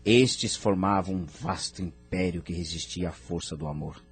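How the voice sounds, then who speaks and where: A man's voice: low-pitched, normal speed, husky, faint music behind, full character voice. A man playing a wise, courteous spirit mentor in an audio drama, explaining things formally and didactically. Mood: calm, didactic.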